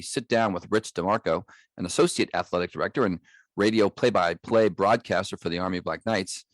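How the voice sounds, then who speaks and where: A man's voice: lowish voice, fairly bright tone, no room sound. An older man hosting a podcast, reading a scripted introduction. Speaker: podcast host.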